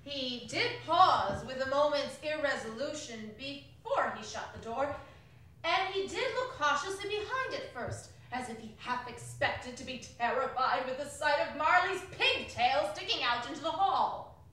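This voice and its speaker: A woman's voice: high-pitched, expressive, measured pace, sharp tone. A woman narrating a classic story on stage in a theatrical dramatic reading. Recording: very echoey hall, distant mic, faint noise.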